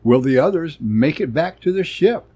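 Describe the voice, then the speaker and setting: A man's voice: fairly high pitch, expressive, moderate pace, mellow, breathy edge. A man narrating an adventure serial in a suspenseful, theatrical manner. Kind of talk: dramatic serial story narration.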